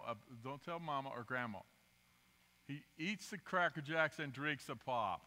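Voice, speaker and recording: An older man preaching a rambling, self-deprecating storytelling sermon at a podium microphone in a church sanctuary, swinging between loud emphasis and quiet asides. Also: a little high. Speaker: guest preacher. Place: church sanctuary, large indoor room. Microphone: podium microphone, filmed from the pews. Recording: reverberant church hall.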